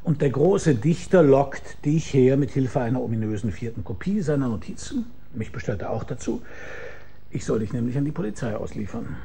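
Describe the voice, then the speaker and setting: Dry, sardonic private detective. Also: male voice, mid-range voice, lively intonation, vocal fry, dark tone, a little hiss or hum. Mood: smug explaining. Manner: dry, mocking, deliberate storytelling. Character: sardonic, shrewd private detective who tells the story himself. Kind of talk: German radio crime drama acting with first-person narration.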